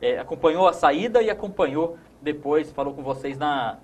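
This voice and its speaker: A man's voice: fairly high pitch, animated, moderate pace. A man in his thirties with a Brazilian accent, hosting a conversational sports talk show in a studio. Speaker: host.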